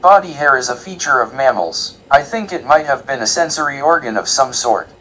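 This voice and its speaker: A man's voice: medium pitch, expressive, a little fast, pushed and bright, low-quality recording. A man playing a polite, literal-minded scholarly robot alien in an audio comedy, speaking formally and precisely. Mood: analytical. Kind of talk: comedic audio drama acting.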